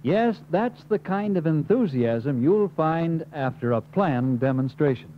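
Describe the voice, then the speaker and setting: A man's voice: fairly high pitch, animated, measured pace. An American man reading scripted instructional narration in an emphatic manner, as the narrator of a training film. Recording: muffled, hissy 1950s film soundtrack.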